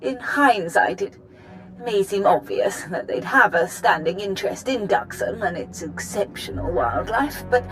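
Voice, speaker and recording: An actress playing an earnest, apologetic scientist in a science fiction game, with careful, formal, technical phrasing. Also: female voice, mid-range voice, expressive, a little slow, fairly bright tone, soft background music, low-quality recording. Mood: uneasy, careful. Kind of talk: video game cutscene acting.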